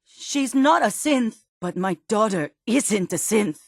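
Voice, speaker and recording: A woman's voice: medium pitch, expressive, gravelly, husky, no room sound, exaggerated voice. Earnest, worried middle-aged mother. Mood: distraught, insistent. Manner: earnest, emotional, halting delivery. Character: worried mother in a post-apocalyptic world, grieving, protective, weary. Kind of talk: dramatic video game voice acting.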